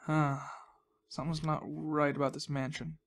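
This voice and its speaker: A young man hosts a casual gaming video in a fairly high voice with a noticeable creak. He rambles playfully, talking to himself and muttering reactions as he goes. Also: flat delivery, moderate pace. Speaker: gaming host. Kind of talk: casual Let's Play commentary.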